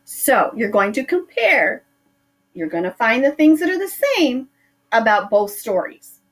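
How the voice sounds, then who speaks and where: A woman's voice: a little high, very animated, unhurried, fairly bright tone. A middle-aged woman teacher reading a picture book aloud to her pupils, drawing out words for effect.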